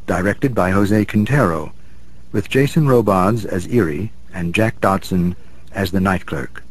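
Low-voiced radio announcer, male. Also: measured pace. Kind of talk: scripted radio credits announcement.

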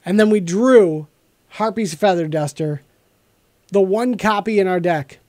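A man's voice: high-pitched, a little slow, darkish tone, no room sound. A middle-aged American man giving casual, close-miked commentary on a card game as the host. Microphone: close desk microphone.

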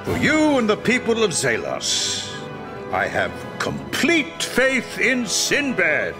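An actor playing a pompous, grandiose ruler in an Arabian audio adventure for families. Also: male voice, high voice, huge pitch swings, takes it slowly, music in the background, heavily acted.

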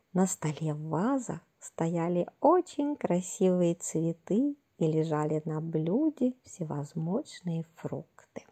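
A woman narrating a scripted fairy tale for children in a soft, breathy, gentle and unhurried storytelling manner. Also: fairly low pitch.

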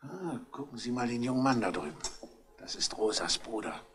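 An actor playing a pedantic, obliging police inspector in a 1960s German radio mystery, clipped and sometimes flustered. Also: male voice, medium pitch, animated, normal speed, fairly bright tone, lightly acted. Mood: fussy, flustered. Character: fussy, obliging police inspector reporting from files.

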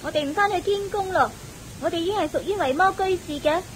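An actress playing an eager, respectful young celestial maiden in a Hong Kong Cantonese radio drama, earnest and inquisitive. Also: female voice, fast. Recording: hissy, rumbly old radio recording.

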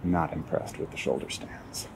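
A man giving a casual walking tour outdoors in a city park, with relaxed, wry asides to viewers. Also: moderate pace, heavy background noise. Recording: faint outdoor ambience.

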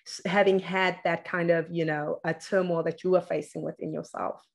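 A woman's voice: on the low side, steady tone, moderate pace, vocal fry. A woman in her thirties hosting an interview over a video call, asking encouraging questions. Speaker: host. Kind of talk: casual interview. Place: office, indoors.

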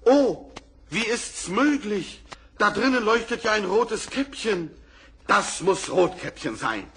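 A man's voice: high-pitched, expressive, slow pace, fairly bright tone, pitched above the performer's own voice, full character voice. A man playing a bold, righteous huntsman in a German fairy-tale radio play, speaking declamatorily and scolding, on a crackly old record. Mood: amazed. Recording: distant crackly vinyl record.

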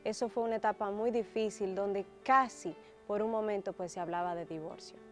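A Dominican woman in her thirties giving a personal testimony to camera in a studio, composed and measured. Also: mid-range voice, steady tone, faint music behind. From Dominican Republic. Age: 30s. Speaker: guest. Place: TV studio, dark backdrop. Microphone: lapel mic.

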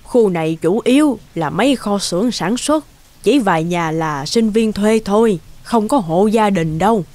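A woman playing an elderly, plain-spoken townswoman in a cartoon, talking in a slow, drawn-out way. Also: mid-range voice, animated, a little mellow, no echo, lightly acted. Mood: matter-of-fact. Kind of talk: cartoon voice acting.

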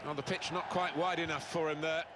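A man giving play-by-play football commentary, building excitement whenever a chance opens up. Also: on the high side, brisk, crowd noise. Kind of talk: lively match commentary. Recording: in-game commentary under loud game noise.

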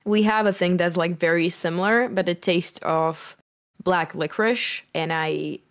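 A young woman speaking English as a second language, chatting as a podcast guest with many fillers and pauses. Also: fairly low pitch. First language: not English. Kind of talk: casual podcast chat.

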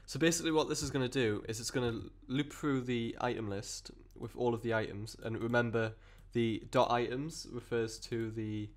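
A man with a cold and a croaky voice, explaining code step by step in a rambling way with many fillers and restarts. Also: mid-range voice, fairly even intonation, normal speed, hardly any pauses. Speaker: programming tutor. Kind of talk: screen-recorded coding tutorial.

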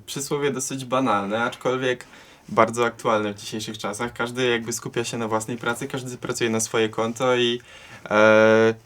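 A man's voice: medium pitch, flat delivery, normal speed, fairly bright tone. A young man giving his reflections in a considered, explanatory manner. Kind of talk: reflective discussion.

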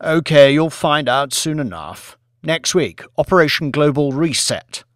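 A man's voice: a little high, animated, unhurried, fairly bright tone, no echo, studio quality. Man voicing Billy, a breezy, jovial pub bloke. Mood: breezy, assured. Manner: casual, offhand, upbeat. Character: Billy, jovial, breezy, beer-drinking man, cool and evasive.

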